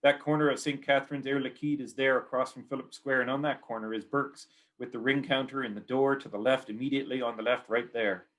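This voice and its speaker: A man's voice: medium pitch, fairly even intonation, quick pace, fairly bright tone. A man with a Canadian accent giving a poetry reading of his own work in short, clipped, repeating phrases.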